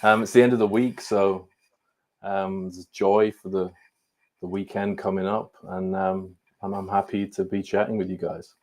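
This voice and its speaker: A man in his forties from Scotland, his accent softened by years in London, a guest in a casual online chat who often repeats words as he gets going. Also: sits low, level tone. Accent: softened Scottish with London influence. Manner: halting, repeats words as he starts sentences. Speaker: guest, ADHD coach.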